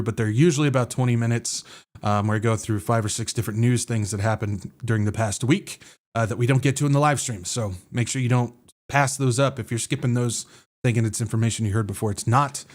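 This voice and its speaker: A man with an American accent hosting a podcast, sounding a little nasal from drainage, talking casually with lots of fillers and self-corrections. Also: mid-range voice. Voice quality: slightly nasal. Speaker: podcast host. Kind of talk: casual livestream talk.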